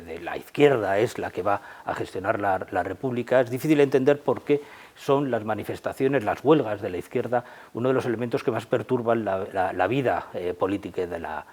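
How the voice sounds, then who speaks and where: A man with an accent from Spain, speaking in a halting, emphatic academic manner in an unscripted history discussion. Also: fairly low pitch. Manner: halting, emphatic, academic phrasing with repeated words. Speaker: presenter and discussant.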